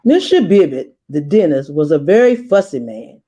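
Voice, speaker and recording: A woman guiding young listeners through a read-aloud lesson, instructive and speaking straight to them with emphatic pauses. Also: low-pitched, big pitch swings, dark tone, lo-fi sound. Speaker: guest reader and read-aloud host for children.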